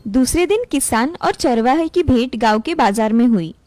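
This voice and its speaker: A woman's voice: a little high, lively intonation, moderate pace, darkish tone, no echo, soft background music. A woman narrator reading a scripted moral tale in storybook style.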